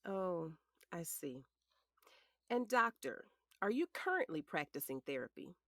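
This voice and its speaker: A woman's voice: on the low side, sing-song, a little slow, fairly bright tone, no echo, studio quality. A woman playing a polished defense attorney in a courtroom drama, formal, methodical and pointed. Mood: probing. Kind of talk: audio drama acting.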